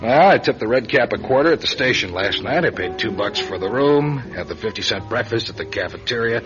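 An actor playing a wry, middle-aged hard-boiled card hustler in a radio detective drama, with a dry wisecracking delivery. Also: male voice, medium pitch, expressive, a little fast, close to an everyday voice. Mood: puzzled. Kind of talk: radio detective drama, first-person narration and dialogue. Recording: dull lo-fi radio show, music behind.

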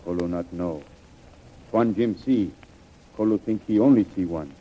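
Very deep-voiced loyal native guide. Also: male voice, moderate pace. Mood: guarded. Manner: clipped broken English, refers to himself in third person. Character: loyal native servant and hunting guide, deferential, secretive. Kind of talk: 1930s radio adventure serial acting. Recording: muffled old radio recording.

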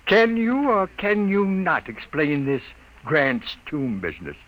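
A man's voice: a little high, lively intonation, unhurried, phone-quality sound. A man playing a sour, sarcastic, exasperated newspaper editor, grumbling through an old-time radio comedy drama. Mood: sardonic, weary. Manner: sardonic, gruff in wording, grumbling. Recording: noisy old radio recording.

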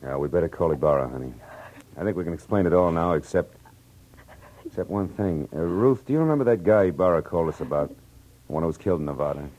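A man's voice: deep voice, lively intonation, fast, warm tone, dull audio. An American man playing a tough, world-weary private detective in 1940s radio drama, clipped and matter-of-fact. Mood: gently soothing. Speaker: lead actor and narrator. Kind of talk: 1940s radio acting, first-person narration and dialogue. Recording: vintage radio drama with music.

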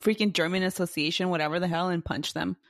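A woman's voice: lowish voice, quick pace, a bit bright, no echo, studio quality. A woman co-hosting a casual podcast, reacting bluntly and swearing freely. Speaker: podcast co-host. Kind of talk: casual chat.

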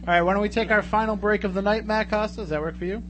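A man's voice: sits high, steady tone, very fast. An American man hosting a live talk radio show in a casual, chatty, informal way. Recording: noisy radio show audio with hum.

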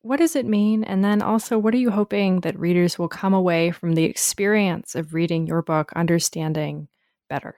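A woman interviewing an author, asking measured, carefully phrased questions. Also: lowish voice, darkish tone, no room sound, clean studio sound.

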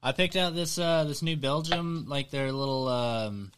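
A man's voice: on the high side, measured pace, fairly bright tone, slight background noise. A man hosting a casual garage podcast, laid-back and swearing freely, calling people dude and bro. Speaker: podcast host.

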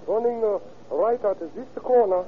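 High-voiced, halting foreign dealer. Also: male voice, narrow, tinny audio. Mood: guarded, polite. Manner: halting, formally polite, broken English. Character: wary, deferential male foreign drug dealer. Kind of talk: 1940s radio acting. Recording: old radio recording, thin, faint noise.

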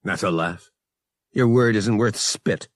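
A man's voice: fairly low pitch, slow pace, no echo, studio quality. A man voicing a desperate, defiant young man in an audiobook, wary and worn out, speaking in curt, bitter phrases. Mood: scornful.

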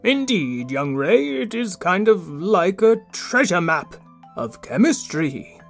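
A man playing a fussy, animated old-fashioned inventor. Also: high-pitched, slow pace, creaky, faint music behind, full character voice. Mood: earnest, instructive. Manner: formal, old-fashioned, explanatory, flustered at times. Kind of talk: children's audio drama acting.

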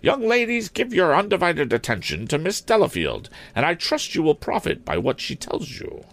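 Guy doing a prim old schoolmistress, high pitched and indignant, ordering folks around, storybook style. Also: huge pitch swings, moderate pace, creaky, heavily acted. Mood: bossy, formal. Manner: haughty, commanding, formal. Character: stern indignant old maid school principal.

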